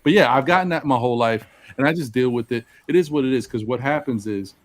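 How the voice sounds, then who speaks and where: A man with a deep voice and precise, articulate enunciation, talking reflectively about himself in a group discussion. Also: quick pace, no room sound. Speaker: panel participant.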